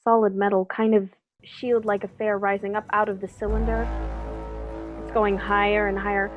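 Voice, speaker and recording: A woman's voice: medium pitch, little pitch movement, normal speed, over music, lightly acted. A young woman acting an army captain giving a live field report in a radio drama. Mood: alarmed. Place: bedroom at home. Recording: dull, muffled home recording.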